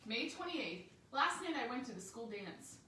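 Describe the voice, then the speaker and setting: A woman reading a picture book aloud to children, expressive and chatty, pausing to speak directly to her young listeners. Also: a little high. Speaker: story time reader for a church. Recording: roomy, distant microphone, faint background noise.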